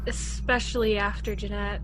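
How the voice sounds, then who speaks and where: A woman's voice: mid-range voice, little pitch movement, normal speed, a bit bright, a little hiss or hum, lightly acted, rough recording. A young woman playing a kind, practical teenage girl crewmate in a sci-fi radio play. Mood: concerned. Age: young adult.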